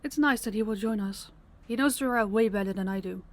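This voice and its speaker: A woman playing a kind, practical vampire guide in an audio roleplay, speaking in a warm, reassuring manner. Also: medium pitch, brisk, natural voice. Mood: upbeat, practical.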